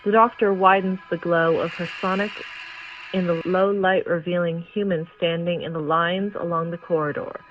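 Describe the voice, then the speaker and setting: A woman's voice: fairly low pitch, steady tone, moderate pace, highs cut off, background noise. A woman reading scripted scene narration for an audio drama, describing action as a narrator.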